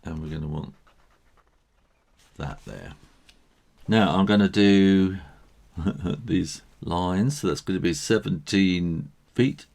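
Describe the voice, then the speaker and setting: A man with a tired, croaky voice, thinking aloud with soft chuckles as he teaches drawing and painting. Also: low-pitched, expressive, slow, long pauses. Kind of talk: casual drawing tutorial narration.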